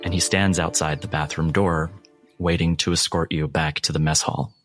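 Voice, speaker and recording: A man narrating scenes as a tabletop game master in a deliberate, ominous manner. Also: extremely low pitch, steady tone, fairly bright tone, faint music behind.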